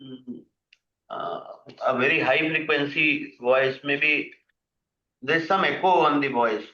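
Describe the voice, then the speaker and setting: Bright-voiced male executive on echoey call. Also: a little high, takes it slowly. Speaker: company executive answering investor questions. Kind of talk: unscripted earnings call answers. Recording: echoey, muffled conference call audio.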